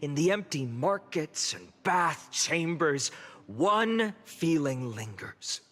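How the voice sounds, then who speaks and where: An actor playing an earnest young heroic leader in a fantasy game, giving a solemn, measured speech to his city. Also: on the high side, very animated, fairly bright tone, full character voice. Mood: grave, solemn. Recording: long hall reverb with music behind. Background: music.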